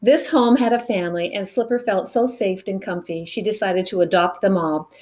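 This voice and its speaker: A woman reading a picture book aloud to children in an expressive way, with dramatic pauses and chatty asides to the listeners. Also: medium pitch. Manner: animated, dramatic pauses, engages young listeners with questions.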